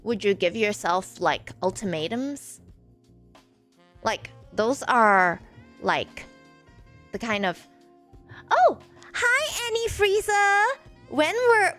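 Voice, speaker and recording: A woman in her forties who grew up in Malaysia, a streamer answering chat in a halting way with many fillers. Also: on the high side, sing-song, a bit bright, faint music behind. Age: 40s. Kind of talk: live stream chat reading viewer comments.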